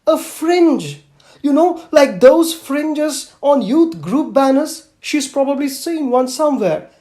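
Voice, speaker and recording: A man voicing an aggrieved, stern female schoolteacher in an audiobook, complaining with deliberate emphasis. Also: extremely high pitch, sing-song, mellow, voice pushed up, exaggerated voice. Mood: exasperated. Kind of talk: audiobook character voice.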